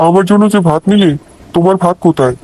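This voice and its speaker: A man's voice: high voice, quick pace, mellow, natural voice, rough recording. A man playing a blunt, short-tempered young shopkeeper husband in a cartoon. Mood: calm. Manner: scolding, blunt. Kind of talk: cartoon dubbing.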